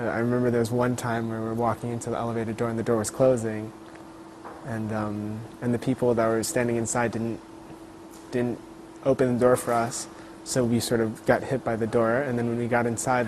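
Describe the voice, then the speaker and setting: A man of twenty-five from Queens, New York, speaking in an interview in a measured way, pausing with "uh" as he finds his words. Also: medium pitch. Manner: measured, pauses with "uh" while he searches for words. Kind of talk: casual interview talk. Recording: noisy background.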